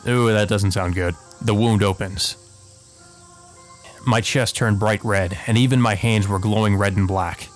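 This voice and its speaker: Low-voiced man reading a game aloud. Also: fairly even intonation, measured pace. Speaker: let's play host. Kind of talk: reading visual novel narration aloud with casual asides. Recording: music playing behind the voice. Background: game music.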